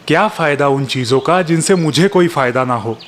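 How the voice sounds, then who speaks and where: A man's voice: fairly high pitch, animated, normal speed, slight background noise, close to an everyday voice. A man voicing a discontented, impatient villager farmer who wants money, in a dramatized children's moral story. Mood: dismissive. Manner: grumbling, impatient. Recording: music behind the voice.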